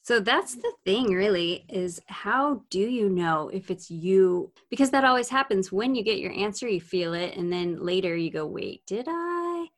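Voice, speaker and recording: A woman hosting a casual faith discussion, talking in an animated way and asking questions. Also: medium pitch, moderate pace, few pauses.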